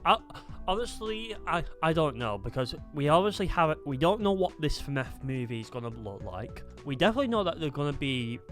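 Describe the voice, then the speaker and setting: A man co-hosting a casual discussion, speaking haltingly and repeating words as he goes. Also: fairly high pitch, very animated, a bit bright. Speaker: podcast co-host. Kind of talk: casual chat about video game films. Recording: music behind the voice.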